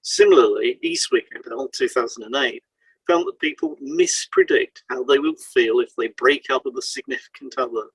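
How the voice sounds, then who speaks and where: Husky man reading an educational script. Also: medium pitch, very animated, moderate pace, dry recording, low-quality recording. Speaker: podcast co-host, hypnotherapist.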